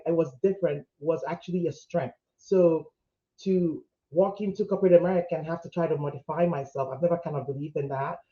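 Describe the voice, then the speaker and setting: A man's voice: fairly high pitch, warm tone, dull audio. A man in his thirties who grew up in Nigeria, introducing himself on an online panel in an upbeat, chatty way. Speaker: panelist, HR executive.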